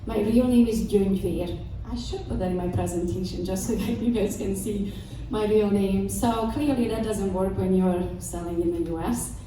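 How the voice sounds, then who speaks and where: A woman's voice: mid-range voice, normal speed, few pauses, noisy background. A woman in her late thirties from Romania, telling her life story as a keynote speaker before an audience. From Romania, Transylvania. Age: 30s. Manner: animated storytelling with laughs. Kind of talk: motivational talk to an audience. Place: event room with audience. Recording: echoey room from far off.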